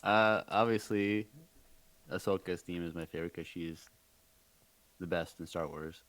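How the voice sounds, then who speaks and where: A man's voice: low-pitched, a little slow, a bit bright, no echo. A man chatting casually as a podcast co-host. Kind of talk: casual chat.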